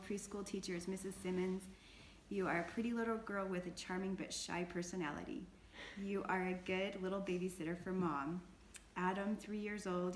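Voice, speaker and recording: Lower-voiced woman in an echoey room. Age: 40s. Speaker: interview guest sharing her mother's letter. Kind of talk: casual chat and reading a letter aloud. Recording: roomy echo, faint background noise.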